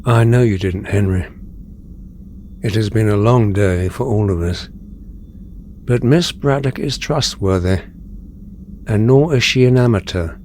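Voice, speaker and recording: A man acting a dignified older mutant leader in an audio drama, speaking formally and deliberately with calm authority. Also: lowish voice, a little hiss or hum, close to an everyday voice. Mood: grave, composed.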